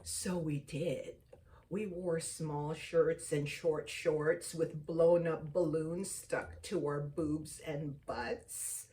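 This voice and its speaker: A woman's voice: sits low, steady tone, extremely slow, dark tone, far from the mic. A woman in her fifties whose first language is Tagalog, hosting a chatty vlog and dropping into whispered asides. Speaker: vlog host. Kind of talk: casual chat.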